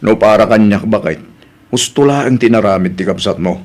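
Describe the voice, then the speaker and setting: A man's voice: fairly low pitch, brisk, a little mellow, natural voice. A man with an Ilocano accent playing a blunt, exasperated husband who chides his wife in a comedy drama. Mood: reproachful.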